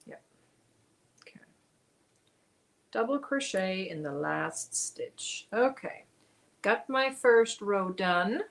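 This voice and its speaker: A woman's voice: medium pitch, animated, takes it slowly, long pauses. A woman, hosting a casual crochet livestream, chatting and reading viewer comments aloud as she works. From Germany. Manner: chatty, reads viewer comments aloud, mutters while counting stitches.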